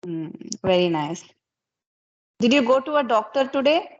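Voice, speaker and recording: A woman's voice: fairly high pitch, lively intonation, moderate pace. Indian English woman in her 30s, patient question-asker, dull call audio. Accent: Indian English, Kannada. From Karnataka, India. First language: Kannada. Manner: patient, asks many simple questions. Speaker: English conversation host. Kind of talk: casual conversation practice.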